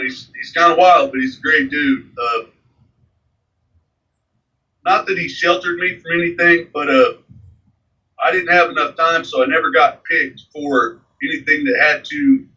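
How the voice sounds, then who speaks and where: Forties guy, bright sharp voice, kinda far from the mic, rambles with lots of "man". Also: on the high side, animated, unhurried, pauses often. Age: 40s. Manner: rambling, frequent fillers like "man" and "you know". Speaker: guest. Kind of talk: casual interview.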